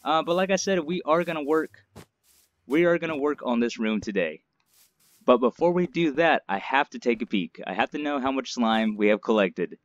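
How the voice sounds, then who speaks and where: A man's voice: medium pitch, animated, normal speed, dry recording. A man hosting a survival game series, chatting casually with lots of fillers while sounding stuffed up from allergies. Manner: chatty, rambling, many fillers. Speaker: gaming channel host. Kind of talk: casual let's-play commentary.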